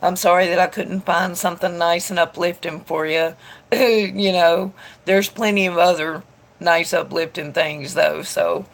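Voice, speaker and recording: Stuffed-up woman, gravelly voice. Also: on the low side, fairly even intonation, measured pace. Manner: chatty, rambling, greets viewers by name. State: stuffed-up nose from allergies. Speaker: tarot reader live-streaming to chat. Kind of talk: casual live-stream chat reading comments aloud.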